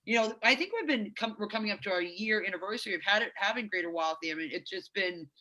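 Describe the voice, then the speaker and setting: A woman's voice: mid-range voice, animated, fast, sharp tone, dull audio. A woman co-hosting a live stream, chatty and reading out viewer comments. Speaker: co-host. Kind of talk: casual live-stream chat.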